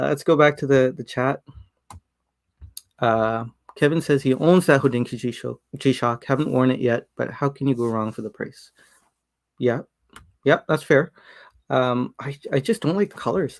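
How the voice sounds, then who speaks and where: Hesitant man hosting a casual livestream. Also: medium pitch. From Sri Lanka. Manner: hesitant, many fillers and restarts, chuckles often. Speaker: livestream host reading chat.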